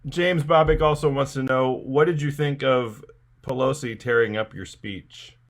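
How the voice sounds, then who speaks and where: A man's voice: mid-range voice, a little slow. A man hosting a casual comic interview, playing along and relaying questions from viewers in the chat. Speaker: live-stream show host.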